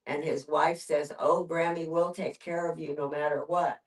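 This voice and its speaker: Older woman with a low voice, plain-spoken and conversational, sharing in a group, miked from a distance. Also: flat delivery, normal speed. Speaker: discussion group participant. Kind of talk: casual personal storytelling in a group. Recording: distant room microphone.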